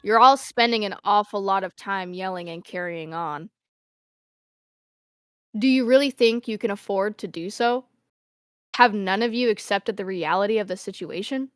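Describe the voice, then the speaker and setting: A woman in an amateur anime fan dub playing an aloof, composed teenage girl who speaks in a measured, curt way. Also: mid-range voice, smooth, no echo, studio quality, lightly acted. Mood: cool, detached. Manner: measured, curt, matter-of-fact.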